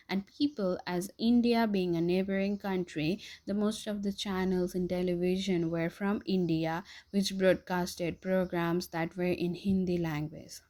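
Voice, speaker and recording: A young woman from Nepal with a Nepali accent, reading her own podcast in a steady, careful way. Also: mid-range voice. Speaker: student podcaster. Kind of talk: read-aloud personal podcast.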